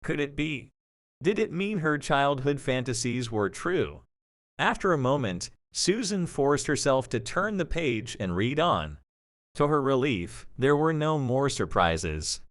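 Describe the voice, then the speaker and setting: A man's voice: medium pitch, dry recording, studio quality. A man narrating a scripted story for an audio drama.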